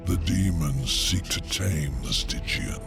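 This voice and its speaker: Deep, gravelly, mocking demon lord. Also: male voice, music in the background, exaggerated voice. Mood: ominous. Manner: theatrical, drawn-out, taunting. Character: imprisoned demon lord, sly, mocking and menacing. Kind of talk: video game cinematic acting.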